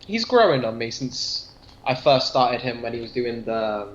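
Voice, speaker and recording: A man's voice: medium pitch, sing-song, normal speed. A man co-hosting a podcast, giving opinions conversationally in a casual review discussion. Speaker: podcast co-host. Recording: muffled, echoey room sound.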